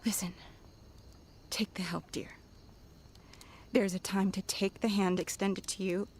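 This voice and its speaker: Actress as middle-aged aviation wife in a period radio play: caring, steady, unhurried, with some background noise. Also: female voice, medium pitch, lightly acted. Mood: reassuring, firm. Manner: gentle, reassuring, motherly. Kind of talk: period radio drama acting.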